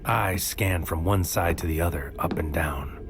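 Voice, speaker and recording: Low male lead: wary noir investigator, brooding measured narration, sci-fi audio drama, music bed. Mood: brooding, tense. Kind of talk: first-person scripted audio drama narration, present tense.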